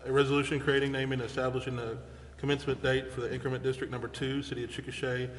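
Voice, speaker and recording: A man chairing a council meeting, speaking in a halting, informal way and reading out formal items. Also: medium pitch. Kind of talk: formal motion reading and unscripted meeting discussion. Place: indoor council chamber. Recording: echoey hall with background noise.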